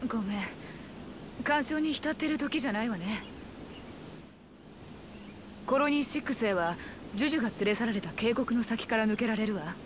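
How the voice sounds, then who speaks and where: An actress playing a determined, caring young army medic in a video game, earnest and emotional. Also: female voice, fairly high pitch, normal speed, pauses often, background noise, natural voice. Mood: subdued, resolute. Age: young adult. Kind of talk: anime-style video game drama acting. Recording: music behind the voice.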